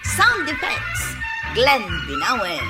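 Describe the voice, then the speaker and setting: A woman's voice: sits high, wildly swooping pitch, extremely slow. A woman announcing a radio drama with theatrical, emphatic scripted delivery. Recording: loud music under the voice. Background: loud theme music.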